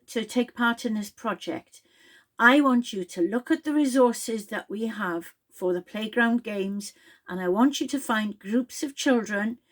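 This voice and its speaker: Welsh-accented woman speaking slowly and plainly. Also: on the high side, a little mellow. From Wales. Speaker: project leader addressing participants. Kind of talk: direct-to-camera address.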